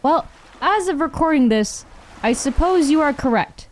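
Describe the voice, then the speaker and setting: A woman in her twenties commenting on a visual novel, chatty and excitable, often laughing. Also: high-pitched, moderate pace, a little hiss or hum. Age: 20s. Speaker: streamer. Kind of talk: game commentary.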